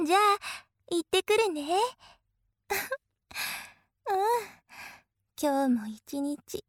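A woman's voice: sits high, very animated, drawn-out words, no echo, studio quality, heavily acted. A woman playing an obsessive, possessive big sister in a voice drama, coaxing sweetly with an unhinged edge. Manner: clingy, coaxing, slipping into intense whispers. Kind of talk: Japanese situation voice drama acting.

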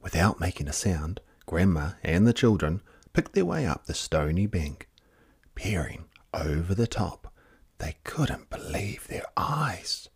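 A man reading a children's picture book expressively, dramatic, with hushed and drawn-out words. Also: sits low, big pitch swings, slightly rough. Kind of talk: expressive children's story narration.

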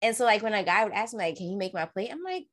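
A young woman co-hosts an unfiltered, casual conversation. She speaks with a mid-range pitch and shows no restraint in her word choice. She acts out the quotes in her stories, jumping sharply upward in pitch. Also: rapid-fire. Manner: candid and animated, acts out quoted remarks. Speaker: podcast co-host.

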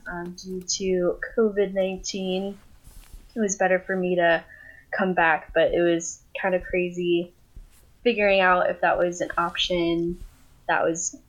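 A woman's voice: medium pitch, lo-fi sound. A young woman from Thailand, a guest on a remote interview, talking casually and rambling with many fillers. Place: family home, indoors. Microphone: video call.

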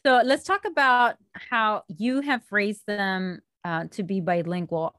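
A woman's voice: mid-range voice, measured pace, fairly bright tone, no room sound, studio quality. A woman hosting a podcast in casual chat, dropping Spanish phrases into her English. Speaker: podcast host.

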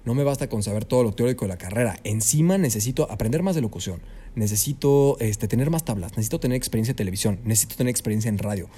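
A young man with a Mexican Spanish accent, a student guest telling his story in a casual podcast interview, animated and peppered with "you know?". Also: fairly low pitch, rapid-fire, husky. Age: young adult. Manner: animated storyteller, leans on "¿sabes?".

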